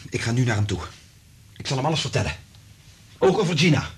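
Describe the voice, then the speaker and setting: An actor playing an anxious, principled research scientist in a Dutch radio drama, earnest and emphatic. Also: male voice, mid-range voice, very animated, quick pace, husky. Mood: grave, resolved.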